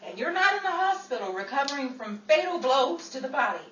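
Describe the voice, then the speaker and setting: A woman acting as a sharp-tongued Hollywood working gal in a 1940s radio comedy. Her exasperated, sarcastic lines swoop widely in pitch and are drawn out theatrically. The recording is roomy and distant. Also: big pitch swings, brisk, highs cut off. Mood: sarcastic threatening. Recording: roomy, distant, noisy live stage recording.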